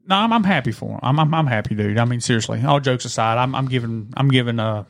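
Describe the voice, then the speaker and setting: A man's voice: medium pitch, fast, creaky. A retired police officer, a podcast guest who talks earnestly and keeps saying "man.". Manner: earnest, says "man" a lot. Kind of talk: casual chat.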